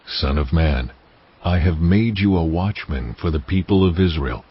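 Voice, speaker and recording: An actor playing God as a stern, commanding deity, speaking with solemn weight and deliberation in a dramatized scripture recording. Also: male voice, low voice, animated, unhurried, husky, highs cut off, full character voice. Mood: grave, warning.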